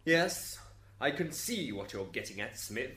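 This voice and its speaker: A man's voice: mid-range voice, very animated, unhurried, a bit bright, a little hiss or hum. Man acting as stern heavenly judge, echoey. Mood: curt, formal. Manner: formal, authoritative. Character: male Saint Peter, stern curt judge of the afterlife. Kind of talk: radio drama acting.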